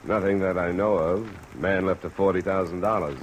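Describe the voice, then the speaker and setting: Man playing hard-boiled male investigator, lowish pitch, terse, dry, 1950s radio drama, rain noise over it. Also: fairly even intonation, moderate pace, close to an everyday voice, rough recording. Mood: probing. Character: laconic, hard-boiled male insurance investigator, world-weary narrator. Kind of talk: 1950s radio detective acting. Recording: vintage radio recording, clear rain noise overlaid.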